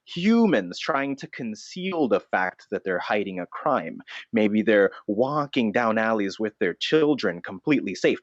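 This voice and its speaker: Guy putting on a weird scary bat vigilante notebook, lowish, halting and dead serious, comedy podcast, dull lo-fi sound. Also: dry recording. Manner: halting, earnest, self-serious. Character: solemn, deluded vigilante notebook dressed as a bat. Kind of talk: improvised comedy character interview.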